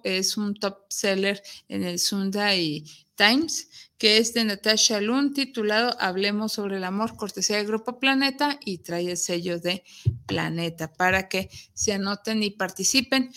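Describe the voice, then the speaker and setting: A woman with a Mexican accent speaks in a bright, forward voice at a middle pitch. She presents like the host of an interactive show, addressing viewers conversationally and explaining as she goes. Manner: explanatory, poses rhetorical questions.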